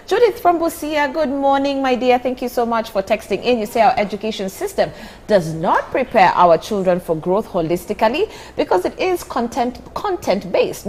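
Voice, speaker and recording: A woman hosting a radio interview, fluent and attentive, reading listener messages and prompting her guest. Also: fairly high pitch. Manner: attentive, constant "mm-hmm" backchannels, fluent presenter reading listener texts. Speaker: radio show host.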